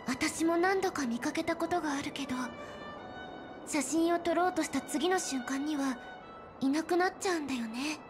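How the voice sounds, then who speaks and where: A woman voicing a calm, low-key young female explorer in a Japanese anime game, plain and matter-of-fact in manner. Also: moderate pace, lightly acted. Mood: quietly intrigued. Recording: clean studio voice over game music.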